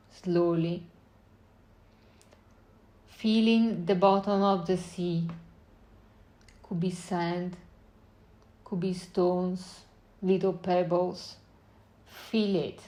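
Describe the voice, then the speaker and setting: Slow-paced woman guiding a meditation. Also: on the low side, steady tone. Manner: unhurried short phrases with long pauses, gentle instructing. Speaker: meditation guide. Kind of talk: guided meditation, slow spoken visualization.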